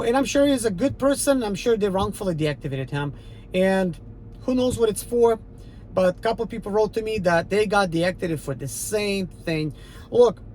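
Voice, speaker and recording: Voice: mid-range voice, slight background noise, poor audio. A rideshare driver from the former Soviet Union with an Eastern European accent, talking in a casual, defiant, rambling monologue to a channel audience. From Ukraine, former Soviet Union. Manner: defiant, emphatic and rambling, with many fillers.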